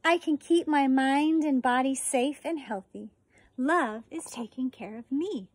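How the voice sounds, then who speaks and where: An American woman in her forties, a teacher reading a children's picture book aloud outdoors with deliberate, expressive phrasing. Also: high-pitched. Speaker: elementary school teacher reading a story. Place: outdoors on a residential deck.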